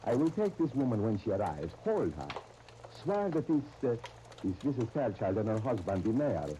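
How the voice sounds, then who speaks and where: Ingratiating, scheming proprietor for 1930s radio drama, very dark tone, faint noise behind. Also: male voice, on the low side, very animated, moderate pace, rough recording. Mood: scheming, assured. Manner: formal, precise, ingratiating. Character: oily, venal sanitarium proprietor, scheming accomplice. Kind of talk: 1930s radio serial acting.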